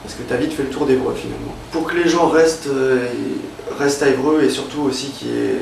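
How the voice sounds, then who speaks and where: Creaky-voiced young man, off-mic. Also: medium pitch, fairly quick, a little mellow, distant mic, a little hiss or hum. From Évreux, Normandy, France. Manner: hesitant, many fillers and restarts. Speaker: interviewee, freelance illustrator. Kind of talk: casual interview. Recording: roomy, off-mic with faint ambience.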